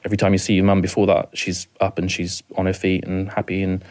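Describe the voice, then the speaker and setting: A Welsh man in his thirties with a very deep voice that barely moves in pitch. He talks softly and haltingly, often repeating a word before going on. He is a guest on a talk podcast telling a hard story from his own life. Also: completely flat delivery. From rural mid Wales. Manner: halting, repeats words, soft-spoken. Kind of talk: emotional personal storytelling.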